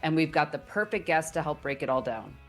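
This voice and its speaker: A woman's voice: deep voice, expressive, fairly quick, soft background music. A woman co-hosting a tech podcast, enthusiastic and lightly playful. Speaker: podcast co-host.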